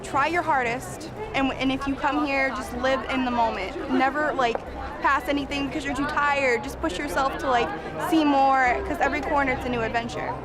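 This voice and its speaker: Excited eighteen-year-old girl, noisy crowd. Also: high voice, moderate pace. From Ohio. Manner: excited, gushing. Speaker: student in a study abroad program. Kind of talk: enthusiastic testimonial. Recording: loud crowd noise around her.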